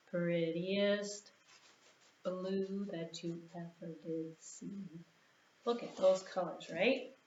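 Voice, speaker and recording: A woman hosting a children's storytime in an encouraging way, with simple, repeated sing-along phrases and questions for young listeners. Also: medium pitch. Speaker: children's storytime host at a library. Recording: roomy echo, dull sound, background noise.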